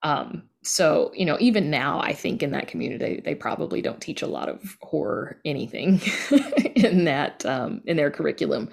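A woman's voice: low-pitched, big pitch swings, normal speed, gravelly, a little mellow. An American woman from East Texas speaking casually and emphatically as an interview guest. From East Texas, United States. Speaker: podcast guest, audio drama creator.

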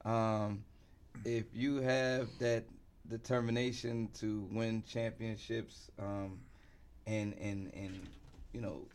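A middle-aged man. He talks conversationally as an interview guest, pausing often as he works through each thought. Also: fairly low pitch, level tone, darkish tone, slight background noise. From New York City, United States. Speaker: interview guest, basketball team insider and former coach.

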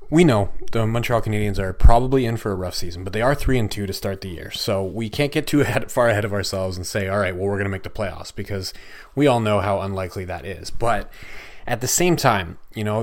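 A man hosting a solo hockey podcast, recapping a game in an excitable, chatty way with lots of swearing, his voice going hoarse. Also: on the low side. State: losing his voice. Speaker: podcast host.

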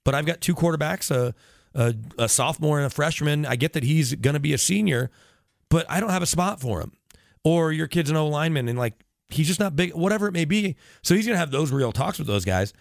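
Fast-talking, long-winded man with gravelly fry. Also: a little high, lively intonation, dry recording, studio quality. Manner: long-winded, detailed explanations with tangents and self-corrections. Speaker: sports radio broadcaster, podcast guest. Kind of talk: casual sports talk interview.

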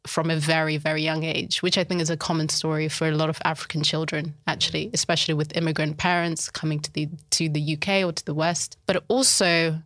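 A woman from Zimbabwe speaks as a podcast guest in a low voice with little rise and fall. She tells her story thoughtfully and without rushing, and each point is calm and considered. The recording is dry and close. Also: dry recording. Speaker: guest, life coach. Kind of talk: reflective interview talk.

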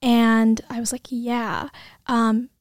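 A woman's voice: a little high, flat delivery, slow, dry recording, studio quality. A woman in her twenties hosting a podcast, talking in an earnest, effusive casual way with lots of fillers. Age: 20s. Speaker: podcast host and student.

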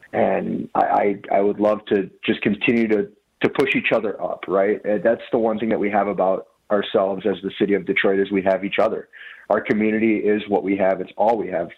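A man with a fairly low voice talks about his work in an earnest, searching way, often restarting his sentences. He comes through a tinny, narrow phone line on a radio broadcast. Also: slightly rough. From Mexico City, raised in Dearborn, Michigan. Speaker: food business owner, guest. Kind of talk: radio interview.

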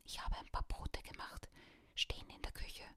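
A woman's voice: moderate pace, bright, forceful tone, close to an everyday voice. A woman whispering the lines of a caring mother talking to her son.

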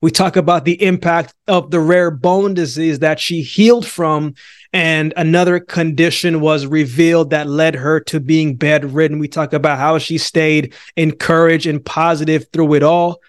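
A man's voice: a little high, fairly even intonation, normal speed, dry recording, clean studio sound. A man from Jamaica hosting a podcast interview, earnest and upbeat, often saying "man". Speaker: podcast host.

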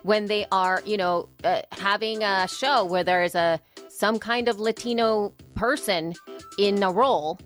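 Animated podcaster woman from El Salvador. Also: mid-range voice, measured pace, faint music behind. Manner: animated, talks in quoted asides and restarts. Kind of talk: casual conversation.

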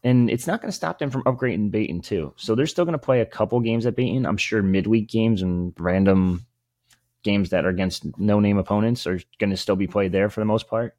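A man with a fairly low voice co-hosts a casual sports podcast. He rambles informally and shares opinions freely. The sound is close and dry. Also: fairly quick, mellow, no echo.

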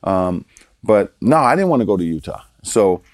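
Older guest from Baltimore, deep voice, easygoing anecdotes, dry recording. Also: male voice, normal speed, no room sound. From Baltimore, Maryland. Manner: relaxed storyteller, chuckles, repeats words for emphasis. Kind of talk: casual storytelling interview.

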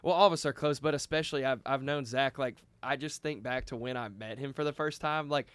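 Guy from a band rambling through a story, lots of likes, smooth clear voice, dry mic. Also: mid-range voice, brisk, a bit bright, no room sound. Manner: rambling, with many restarts and "like". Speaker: band member. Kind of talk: casual storytelling.

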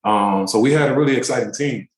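A man in his thirties, a pro basketball player, telling relaxed, rambling stories in a casual interview. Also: mid-range voice, fast, reverberant, poor audio. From born in Turkey, raised in the DC area. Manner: relaxed, rambling, many fillers.

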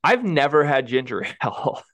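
A man's voice: mid-range voice, sing-song, normal speed, a bit bright. A man co-hosting a casual sports podcast, teasing his partner and laughing often. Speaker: podcast co-host.

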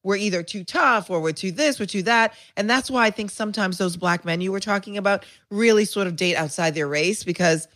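A woman hosting a talk show, chatty and quick to react, telling anecdotes. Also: mid-range voice, a bit bright. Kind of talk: casual talk show chat.

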